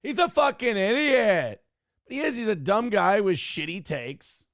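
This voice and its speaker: A man's voice: high-pitched, sing-song, unhurried, a bit bright, no echo, clean studio sound. A man hosting a livestream show, chatting casually, swearing freely and reading out viewer donations. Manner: profane, reads donor messages aloud. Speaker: streamer and show host.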